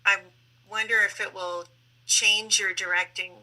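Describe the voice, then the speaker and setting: A woman's voice: medium pitch, steady tone, measured pace, bright, forceful tone, rough recording. A woman hosting a conversational panel interview over a video call, putting questions to guests. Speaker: interview host.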